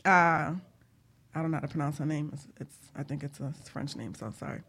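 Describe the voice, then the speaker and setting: A woman hosting a casual group chat, jovial and quick to laugh. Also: low-pitched, gravelly, fairly bright tone, slightly rough. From Jamaica. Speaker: talk show host.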